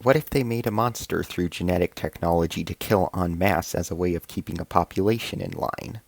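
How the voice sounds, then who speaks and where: A man's voice: sits low, brisk, no echo. A man voicing a grim, suspicious alien military councillor in a story, pressing his argument.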